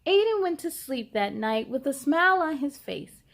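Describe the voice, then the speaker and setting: A woman's voice: sits high, sing-song, moderate pace, vocal fry. A woman hosting a children's story time, reading aloud expressively and talking encouragingly straight to young listeners.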